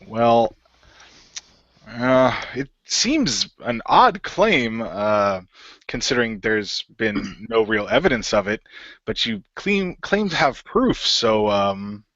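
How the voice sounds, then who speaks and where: A man playing a skeptical but open-minded fellow in a radio play, speaking hesitantly and deliberately as he weighs things up. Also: lowish voice, fairly bright tone, dry recording, heavily acted. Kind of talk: improvised radio play roleplay. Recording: dull lo-fi voice recording.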